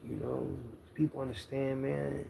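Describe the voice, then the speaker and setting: A man's voice: mid-range voice, little pitch movement, measured pace, vocal fry, mellow. A man talks casually and self-assuredly in rambling, slang-heavy street monologue, boasting as he goes. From Philadelphia, United States. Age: 50s. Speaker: internet content creator. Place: outdoors, birds chirping behind. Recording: outdoor background noise with birdsong.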